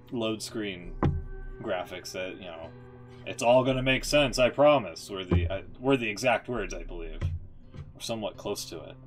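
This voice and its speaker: A man streaming a game, reading text aloud and commenting in a casual, musing, offhand way with some swearing. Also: lowish voice.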